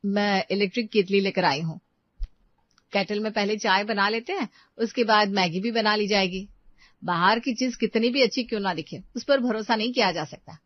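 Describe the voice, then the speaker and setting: A woman narrating a moral story in a smooth, scripted storytelling way. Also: mid-range voice, moderate pace, dry recording. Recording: dull dry voice-over.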